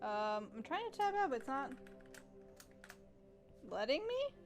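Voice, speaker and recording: A woman giving casual live game commentary, chatty and thinking out loud as she reacts. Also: high voice, sing-song, a little slow, faint music behind. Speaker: game streamer.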